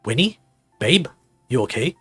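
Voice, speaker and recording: A man voicing a caring, easygoing monster husband who coaxes gently and tactfully. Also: mid-range voice, big pitch swings, measured pace, slightly rough, dry recording, soft background music, exaggerated voice. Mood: concerned.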